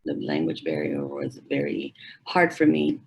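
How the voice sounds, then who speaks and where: Hoarse Spanish-native teacher, woman in her 40s, low and rough, halting delivery with restarts, video call. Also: sing-song, darkish tone, a little hiss or hum. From Mexico. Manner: halting, restarts and repeats phrases. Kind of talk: personal story told at a forum.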